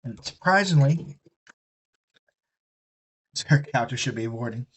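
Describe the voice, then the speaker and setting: A man's voice: medium pitch, animated, slow, slightly rough, poor audio. A man chatting casually on a live stream, rambling and profane as he talks. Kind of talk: casual rambling chat while building a kit.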